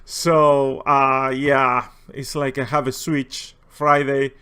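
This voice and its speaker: Guy w heavy spanish accent, native spanish speaker, kinda halting and rambly, just chatting unscripted for his gaming video. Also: medium pitch, flat delivery, sharp tone, clear, resonant tone. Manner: hesitant, halting, rambling delivery. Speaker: video host, war-gaming enthusiast. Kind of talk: casual, unscripted game and book commentary.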